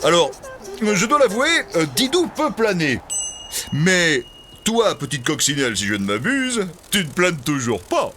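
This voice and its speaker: Pompous eagle with swooping pitch. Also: male voice, sing-song, measured pace, sharp tone, soft background music, heavily acted. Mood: grudging, teasing. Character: proud teasing male eagle, grudgingly admiring. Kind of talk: children's cartoon voice acting.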